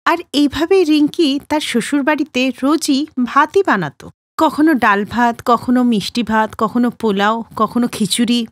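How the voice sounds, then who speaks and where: A woman narrator reading a scripted children's story in an expressive way. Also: fairly high pitch, normal speed, dry recording, studio quality.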